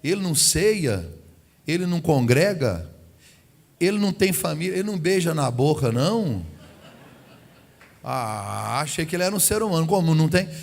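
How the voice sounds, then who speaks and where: A man's voice: a little high, sing-song, normal speed, creaky, pauses often. A man in his forties from Goiás, Brazil, preaching as a visiting pastor at a family service, chatty and humorous, acting out dialogue. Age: 40s. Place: church sanctuary. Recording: long hall reverb in a church.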